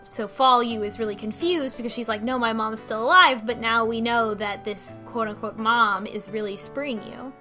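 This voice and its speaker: Female podcast co-host, slightly high voice, informal recap with quoted dialogue, faint music behind. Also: moderate pace, few pauses, bright, forceful tone. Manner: informal, acts out characters' lines. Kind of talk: casual chat, plot recap from notes. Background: music under the talk.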